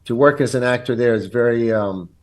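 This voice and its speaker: An earnest man in his fifties whose first language is Italian. He talks through his story in a relaxed guest chat, pausing on ums and starting phrases over. His voice sits at an everyday middle pitch. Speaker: guest actor. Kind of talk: casual interview chat.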